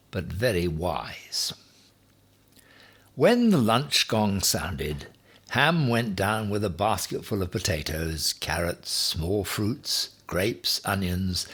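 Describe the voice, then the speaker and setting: A man reading a children's story aloud in a deliberate, expressive way with dramatic emphasis. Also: lowish voice, husky. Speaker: story reader.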